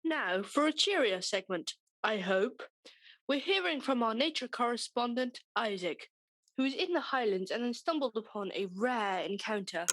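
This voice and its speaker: A composed studio anchor in a mock news comedy, played by a woman. Her voice sits fairly high and sounds close and dry. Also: expressive, moderate pace, a bit bright, no room sound, low-quality recording.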